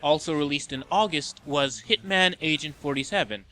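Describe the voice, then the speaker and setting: Guy narrating a top ten video essay, kinda high sharp voice, snarky and opinionated. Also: moderate pace. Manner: opinionated, sardonic. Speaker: host of a video game movie list show.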